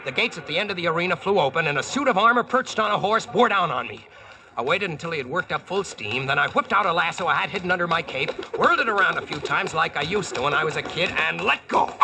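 Brash American wisecracker, animated and high-pitched. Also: male voice, fast, gravelly, a little hiss or hum, exaggerated voice. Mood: wry storytelling. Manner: slangy, cocky, punchy. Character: brash, wisecracking modern American man, reformer called Sir Boss. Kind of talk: 1940s radio acting and first-person narration. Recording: vintage radio broadcast with music behind.

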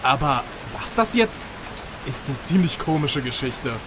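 A man's voice: medium pitch, lively intonation, a little slow, natural voice. An actor playing a whiny, indignant wounded gunman in a comic radio play. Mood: bewildered. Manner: whiny, grumbling. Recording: faint rain noise behind voice.

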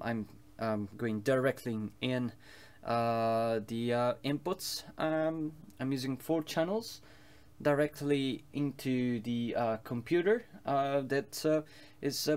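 A man giving an informal explainer as a home-studio musician, speaking in a halting way with many filler pauses. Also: mid-range voice, clean tone. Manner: halting, hesitant delivery with frequent long filler pauses. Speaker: home-studio musician presenting his recording process.

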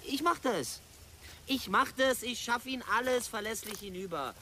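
A man's voice: extremely high pitch, animated, normal speed, sharp tone, slight background noise, heavily acted. An actor playing a talkative young male student drone pilot in a radio drama, hesitant and muttering as he reassures. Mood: excited, insistent. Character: nervy, talkative bearded computer-science student, drone pilot.

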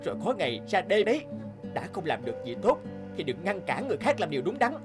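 An earnest young man in a cartoon moral tale. He is studious and upright, speaking with reproach and lively intonation. Music sits behind the voice. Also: sits high, quick pace. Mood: indignant. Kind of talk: animated moral tale dialogue.

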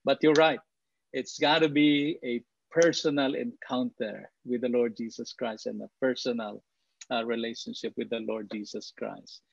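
A middle-aged man with a Filipino accent, interviewing guests as a show host in halting, deliberate phrases. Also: medium pitch, fairly even intonation, vocal fry, no room sound, lo-fi sound. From Philippines. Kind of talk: interviewing guests, switching between English and Tagalog. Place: indoors at home, on a video call.

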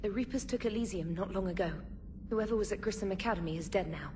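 A woman voicing a precise, calm ship intelligence. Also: mid-range voice, background noise, close to an everyday voice. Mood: measured. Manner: precise, measured reporting.

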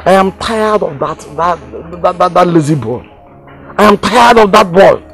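High-pitched exasperated Nigerian Igbo father. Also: expressive, normal speed, creaky, music in the background. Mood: weary, exasperated. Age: middle-aged. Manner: emphatic, repeats phrases, mixes Igbo and English. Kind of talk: Nollywood film drama acting.

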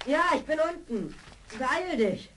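Determined young adventurer, noisy recording. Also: female voice, sits high, very animated, slow pace, exaggerated voice. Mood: determined, urging. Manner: earnest, urging. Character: brave, determined young adventurer named Barney. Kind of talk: 1970s German children's audio play acting. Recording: old audio drama, clear background noise.

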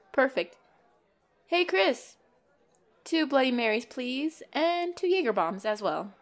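A woman's voice: mid-range voice, close to an everyday voice. A woman voicing a flirtatious, teasing female vampire in an intimate ASMR roleplay, playful and giggly with a hungry undertone. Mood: flirty, coy. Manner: playful, giggly, slow and coy, dropping into breathy whispers. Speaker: roleplay voice performer. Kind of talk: ASMR roleplay, intimate one-sided dialogue.

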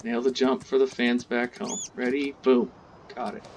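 A man hosting a casual gameplay tutorial, chatting in a relaxed way as he plays, with game sounds faintly behind. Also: mid-range voice, normal speed, slight background noise. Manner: relaxed, chatty, talks while playing. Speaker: gaming channel host.

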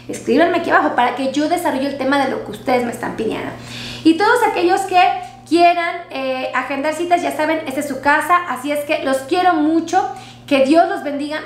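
A woman doctor gives health advice in a chatty way, repeating herself and using diminutives, recorded on a headset mic in a small clinic room. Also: high voice. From Mexico. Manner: warm, repetitive, uses diminutives. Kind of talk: casual health talk to viewers. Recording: echoey room with faint hum.

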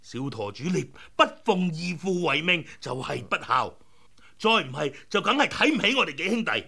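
A man's voice: fairly high pitch, very animated, moderate pace, vocal fry, bright, forceful tone, breathy edge, heavily acted. An actor playing a blunt, hot-tempered rebel brother who speaks his mind, in a radio drama. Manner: forthright, outspoken. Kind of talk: 1990s radio drama acting.

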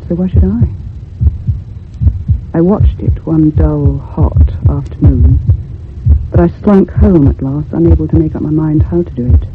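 An actress portrays a thoughtful young woman telling a radio ghost tale. She sounds grave, troubled and haunted, with measured phrasing. The sound is muffled and telephone-thin, with faint noise underneath. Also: low-pitched, lightly acted. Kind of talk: old-time radio drama narration. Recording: muffled phone-like radio recording with hum.

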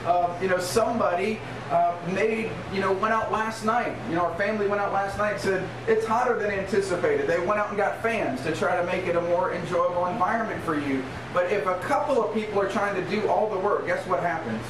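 A man's voice: high voice, fairly even intonation, brisk, hardly any pauses. A middle-aged American man preaching an informal sermon as a pastor, emphatic and conversational, checking in with rhetorical questions. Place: small church room in a converted bar, indoors. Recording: roomy, distant mic, noisy background.

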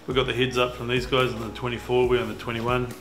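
A young man with a New Zealand accent, chatting casually about a fishing trip, relaxed and full of fillers. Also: medium pitch, quick pace. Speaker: fisherman. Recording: roomy sound with music behind.

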